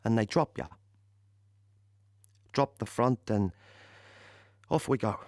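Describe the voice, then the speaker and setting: Lively young army driver. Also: male voice, fairly low pitch, slow, no echo, studio quality. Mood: tense recounting. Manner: colloquial, ungrammatical, chatty storytelling with chuckles. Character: cheerful working-class young British army driver recalling D-Day. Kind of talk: dramatic monologue in an audio play.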